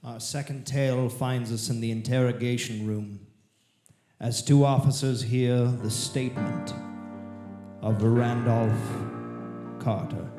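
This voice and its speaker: A man's voice: medium pitch, fairly even intonation, slow pace, warm tone, soft background music, close to an everyday voice. A man as announcer, giving a scripted introduction in old-time radio style. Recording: echoey live theatre.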